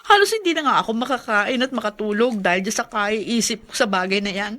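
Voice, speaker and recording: A woman's voice: medium pitch, animated, a little fast, pushed and bright. A woman playing a worn, worried mother in a radio drama.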